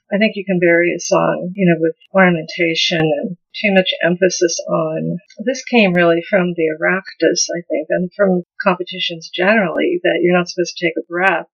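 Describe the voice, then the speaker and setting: A woman's voice: lowish voice, slightly rough. An older woman from the United States with a toned-down mid-Atlantic accent, a folk musician talking in an interview, thoughtful and often restarting her sentences. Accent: mid-Atlantic American. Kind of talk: relaxed interview conversation. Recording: slightly dull lo-fi sound.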